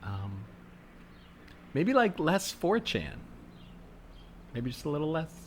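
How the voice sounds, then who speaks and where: A man's voice: fairly high pitch, big pitch swings, slow pace. A man playing an awkward, polite, peace-minded city liberal, tentative and diplomatic in a conversational improvised comedy scene. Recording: faint noise, added ambient soundscape. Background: faint ambient sound effects.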